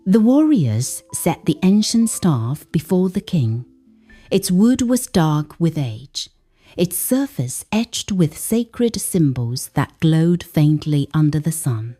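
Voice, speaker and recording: Slow, animated woman storyteller over music. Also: low voice. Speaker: folktale narrator. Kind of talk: dramatic scripted storytelling narration.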